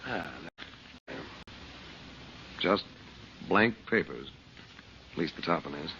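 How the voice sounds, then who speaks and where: An actor playing a shrewd, composed uncle, a magician adventurer, in an old-time radio serial with grave, measured delivery. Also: male voice, deep voice, animated, sharp tone, heavily acted. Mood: matter-of-fact. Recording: muffled, faintly noisy old radio recording.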